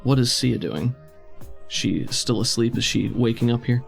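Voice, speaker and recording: A man with a creaky, gravelly edge to a mid-pitched voice acts as game master. He narrates and talks through rules in a casual way. Faint music plays behind him. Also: a little slow. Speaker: game master of a tabletop RPG podcast.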